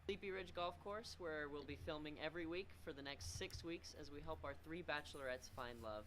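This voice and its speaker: Fast-talking young male show host. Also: on the high side, a bit bright, a little hiss or hum. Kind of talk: upbeat show presenting.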